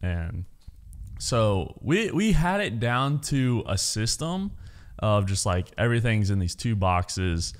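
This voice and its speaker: Laid-back man with a low voice. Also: takes it slowly, clear, resonant tone. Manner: relaxed storytelling with many fillers. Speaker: podcast co-host. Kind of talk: casual chat.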